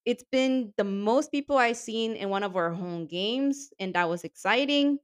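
A woman co-hosting a podcast in casual chat, enthusiastic and laughing often, her voice a little raspy from yelling. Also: medium pitch, normal speed. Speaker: podcast co-host. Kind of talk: casual chat about women's soccer.

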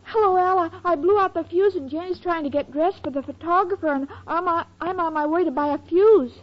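A woman's voice: very high voice, brisk. An actress playing a dim-witted, timid, plaintive young woman in a 1940s radio sitcom. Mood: naive, anxious. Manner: naive, literal-minded, plaintive. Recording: muffled, noisy old-time radio recording.

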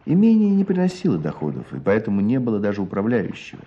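An actor playing a storyteller in a radio play, narrating village hardship with expressive, deliberate phrasing. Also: male voice, lowish voice, dull audio. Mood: reflective.

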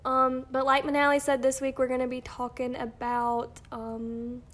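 A woman's voice: high voice, monotone, moderate pace, a bit bright. A young woman in college co-hosting a faith podcast, chatting casually with a stuffy, nasal voice and frequent giggles. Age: young adult. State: nasal, congested from sinuses. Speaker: podcast co-host.

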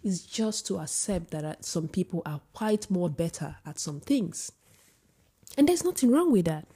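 A woman talks straight to her audience with a low, husky, mellow voice. She is opinionated and grows emphatic as she argues, stumbling and restarting her sentences along the way. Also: expressive, moderate pace. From Sweden. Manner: opinionated, rising to emphatic outbursts; many restarts and filler words. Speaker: vlogger. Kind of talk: casual opinion monologue to camera.

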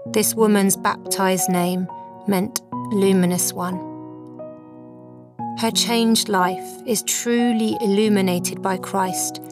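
A woman with a mid-pitched voice presents a scripted devotional. She speaks in a measured, reverent, earnest way, with music clearly playing behind her. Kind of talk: scripted devotional commentary and prayer.

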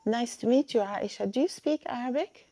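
A woman's voice: a little high, normal speed, dark tone, no echo. A woman hosting a casual live chat, a friendly and patient Arabic teacher who helps guests find their words.

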